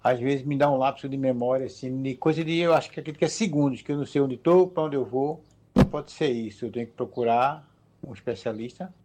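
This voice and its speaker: A man's voice: mid-range voice, quick pace, a little mellow. A man in his fifties leaving a plain, matter-of-fact voice message for a radio show. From Brazil. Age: 50s. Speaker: radio listener sending a voice message. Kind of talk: recorded voice message.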